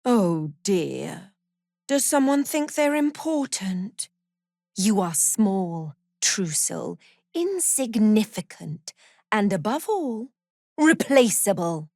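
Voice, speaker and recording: Slow-spoken, cruel zealot warden. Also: female voice, mid-range voice, very animated, creaky, darkish tone, dry recording, clean studio sound, exaggerated voice. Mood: sardonic contempt. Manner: haughty, sardonic, measured, threatening. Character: cruel, zealous female cult prison warden, contemptuous and menacing. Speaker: prison warden in a fantasy game. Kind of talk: video game character voice acting.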